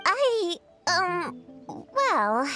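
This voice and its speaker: A woman's voice: extremely high pitch, extremely slow, soft background music, full character voice. A woman voicing an earnest, brainy little girl who talks self-importantly like a grown-up, in an anime game. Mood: troubled. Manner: precocious, self-important, animated.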